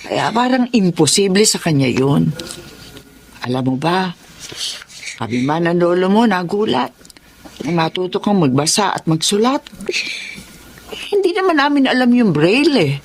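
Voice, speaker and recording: Old Filipina lola, expressive swoops, soothing, encouraging, radio drama, slight background noise. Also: female voice, medium pitch. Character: caring, encouraging old Filipina grandmother, plucky.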